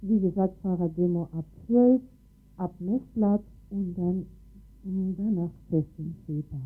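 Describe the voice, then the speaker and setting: A woman co-hosting a radio news show, making unscripted announcements in a halting way, searching for words. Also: fairly low pitch. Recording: lo-fi phone-like radio, music behind.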